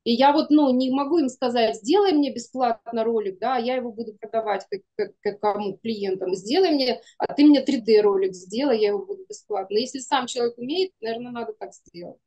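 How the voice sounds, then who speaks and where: A woman's voice: fairly high pitch, brisk, few pauses, dark tone, low-quality recording. A woman in her thirties or forties, an interview guest talking casually and expressively on a video call. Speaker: guest, animation studio producer. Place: room indoors, video call.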